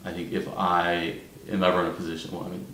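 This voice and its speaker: A man's voice: low-pitched, completely flat delivery, fast, fairly bright tone, slight background noise. A twenty-year-old man talking reflectively and informally in a documentary interview, thoughtfully correcting himself as he goes. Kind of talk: candid, reflective interview talk. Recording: roomy echo with faint music. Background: faint instrumental music.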